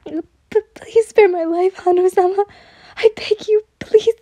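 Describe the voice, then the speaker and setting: A terrified young servant girl, acted out by a woman storyteller, with a very high, loud voice. She pleads deferentially, begging for her life in a dramatic audio story. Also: fairly even intonation, unhurried, dry recording. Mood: pleading.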